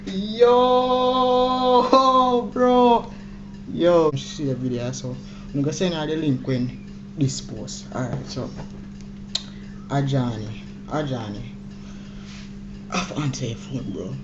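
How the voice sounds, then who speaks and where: A man's voice: on the high side, slow, long pauses, over music. A young man with a Jamaican accent hosting a casual prank vlog, talking in slangy, repetitive exclamations and pleas. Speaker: prank video host. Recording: muffled, noisy home-video audio.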